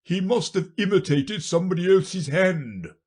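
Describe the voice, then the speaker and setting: A narrator voicing a pompous, muddle-headed old king, loud and emphatic, in a storybook reading. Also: medium pitch, animated, moderate pace, husky, voice pushed up. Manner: loud, emphatic, self-important.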